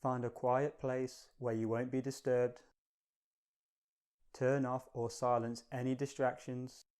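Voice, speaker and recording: Smooth, even-toned male meditation guide. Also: mid-range voice. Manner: calm, measured, gentle. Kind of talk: guided meditation, slow and soothing instruction.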